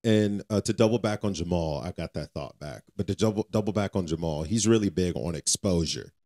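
A man's voice: sits low, a little fast, dark tone. A man co-hosting a faith podcast, talking casually in an earnest, exhorting way and repeating phrases for emphasis. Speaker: podcast co-host.

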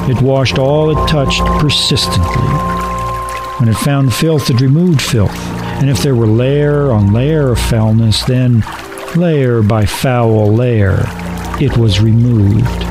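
Male narrator for radio drama, mid-pitched, deliberate theatrical storytelling, clear music bed. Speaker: narrator and radio show host.

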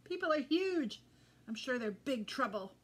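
A woman's voice: sits high, very animated, measured pace, slightly rough, full character voice. A woman voicing a playful, curious young mongoose boy in a storybook, exclaiming and wondering. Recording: faint background noise.

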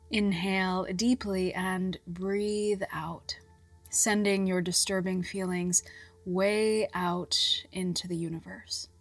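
Very slow, soft-voiced woman guiding relaxation. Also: on the low side, dry recording. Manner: soothing, measured, with long pauses. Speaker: guided meditation narrator. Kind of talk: scripted hypnobirthing relaxation guidance. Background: music.

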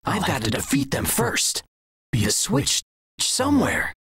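Rough doubled hedgehog hero voice for a video game, terse, determined, pitch jumps all over. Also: male voice, huge pitch swings, measured pace, a bit bright, slight background noise. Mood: focused, determined. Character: male hybrid hedgehog hero, bold, battle-focused. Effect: two takes layered into one doubled voice. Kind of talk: video game tutorial and battle lines.

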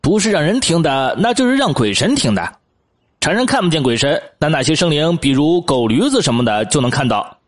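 Audiobook bit part for a male actor: opinionated gossiping townsman, animated swoops in pitch. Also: a little fast. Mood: excited gossip. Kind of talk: acted audiobook dialogue.

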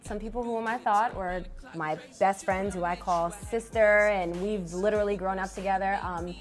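A woman, a singer being interviewed, talking softly and hesitantly with many fillers. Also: mid-range voice, steady tone, normal speed, faint music behind. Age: 20s. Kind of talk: casual interview chat. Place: small studio with branded backdrop.

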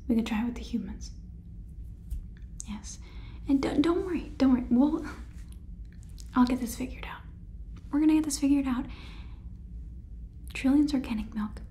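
A harried female company boss, played by a young woman talks in a hushed, halting phone manner. The voice is mellow and a little high, over faint noise. It has the conversational feel of an ASMR sitcom. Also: close to an everyday voice. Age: young adult.

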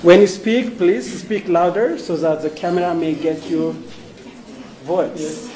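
A man's voice: sits high, takes it slowly, dark tone, roomy sound. A male teacher calling on students one by one in a classroom, encouraging them and thanking each in turn, filming on a handheld phone. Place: crowded indoor classroom full of students. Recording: noisy crowded classroom.

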